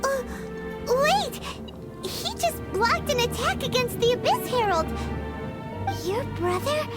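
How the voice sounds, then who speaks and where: A woman voicing an excitable tiny fairy girl companion in a game, with a high squeaky childlike voice. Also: slow, full character voice. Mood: alarmed. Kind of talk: game voice acting. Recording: game audio with loud music.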